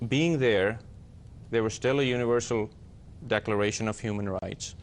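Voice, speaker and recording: A man from Pakistan speaking as a panelist, explaining and reflecting deliberately, phrase by phrase. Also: lowish voice. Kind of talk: personal reflection in a discussion.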